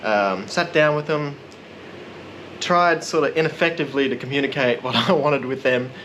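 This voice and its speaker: A man narrating a casual vlog in a relaxed, rambling, self-deprecating way. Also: mid-range voice, normal speed, slight background noise, rough recording. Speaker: vlogger.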